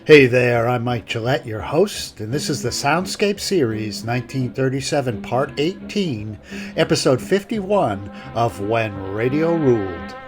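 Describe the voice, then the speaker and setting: A man's voice: mid-range voice, big pitch swings, a little slow. A man reading a scripted introduction as host of a podcast. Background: music.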